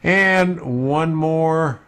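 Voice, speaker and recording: A man hosting a casual live stream about model railroading, talking slowly with many fillers as he works at his computer. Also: fairly high pitch, a bit bright. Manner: slow, unhurried, with many fillers while working on screen.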